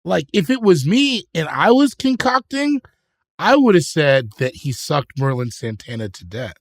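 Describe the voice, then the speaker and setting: A man co-hosting a comedy podcast, riffing jokes in animated banter and trailing off into mutters. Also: on the high side, normal speed, dry recording. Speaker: podcast co-host.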